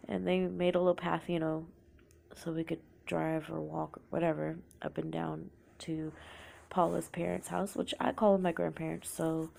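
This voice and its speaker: Low, slow, gravelly young woman with a sore throat. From Oklahoma, United States. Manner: soft-spoken, often trailing into a whisper. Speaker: podcaster telling her life story. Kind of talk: casual confessional storytelling.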